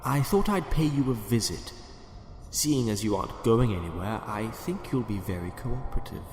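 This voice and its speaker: A man's voice: on the low side, animated, normal speed, darkish tone, slight background noise, lightly acted. A man playing a sinister doctor in an audio drama, politely menacing and condescending, sometimes murmuring. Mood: cold command.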